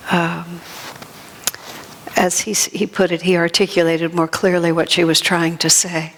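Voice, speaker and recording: A woman's voice: fairly low pitch, a bit bright. A woman giving an informal spiritual talk to a gathering, reflective and searching for her words as a teacher. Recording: long hall reverb.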